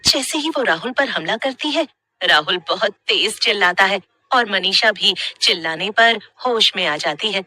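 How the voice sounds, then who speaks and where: A woman narrates a scripted horror story. Her voice is very bright and forceful, and the recording is close and dry. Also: mid-range voice, lively intonation, normal speed, dry recording.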